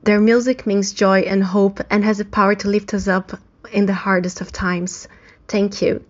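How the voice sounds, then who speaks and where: A woman's voice: medium pitch, flat delivery, normal speed. A woman reading out a prepared testimonial as a fan, on a dull recording. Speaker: fan sending a voice message.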